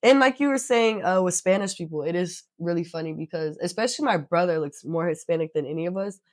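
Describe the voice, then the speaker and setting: A young woman chatting casually as a podcast guest, self-mocking and quick to laugh. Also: lowish voice, no room sound, studio quality. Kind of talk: casual chat.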